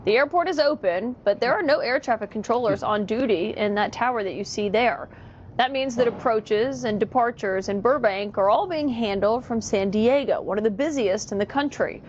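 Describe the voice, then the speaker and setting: A woman's voice: on the high side, moderate pace. A woman reporting the news as a television reporter. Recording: dull, noisy news clip.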